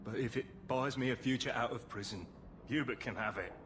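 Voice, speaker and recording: An actor voicing a desperate, humble miner in a video game, pleading and deferential with rustic turns of phrase. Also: male voice, medium pitch, a little fast, music in the background, close to an everyday voice. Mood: sorrowful. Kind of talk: video game cutscene acting.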